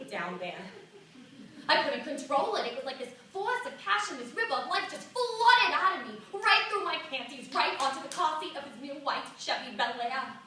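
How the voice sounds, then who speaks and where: An exasperated old lady in her seventies, played by a woman on stage, reminisces reluctantly in a theatrical monologue. The voice is fairly high and halting, breaking off and starting again. It rings through a very echoey theatre with faint noise. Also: far from the mic. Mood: swept away. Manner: halting, dramatic, colloquial, self-interrupting. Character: reticent, exasperated seventy-two-year-old New York woman reminiscing. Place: small indoor theatre stage.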